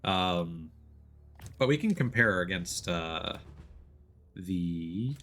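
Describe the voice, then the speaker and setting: A man chatting casually as he plays a video game on stream, often pausing and restarting mid-thought. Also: low-pitched, animated, a bit bright. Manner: chatty, hesitant mid-thought, playful exclamations. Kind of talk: casual gameplay commentary and chat. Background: faint game music.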